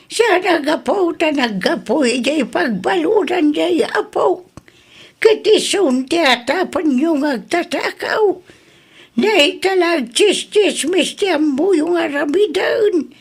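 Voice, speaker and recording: Actress as high-voiced, unhurried old grandmother. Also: animated, heavily acted. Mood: indignant, hurt. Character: elderly grandmother, protective, grateful, easily indignant. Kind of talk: Ilocano radio drama acting.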